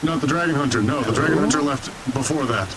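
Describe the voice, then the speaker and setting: A man's voice: on the high side, quick pace, a crowd in the background, close to an everyday voice, poor audio. A man playing a dry, emphatic townsman in improvised fantasy roleplay in an online game.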